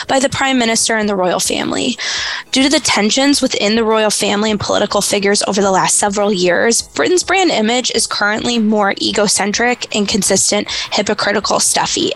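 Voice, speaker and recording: A woman delivers a prepared opinion. Her voice is somewhat high. She sounds deliberate, as if reading from notes. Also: a bit bright, low-quality recording. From United States. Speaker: contributor giving a recorded opinion. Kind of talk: prepared statement.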